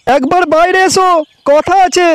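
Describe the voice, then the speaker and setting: A man voicing a quick-tempered village snack seller in a comedy cartoon. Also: very noisy. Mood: impatient calling. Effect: pitch-shifted cartoon voice.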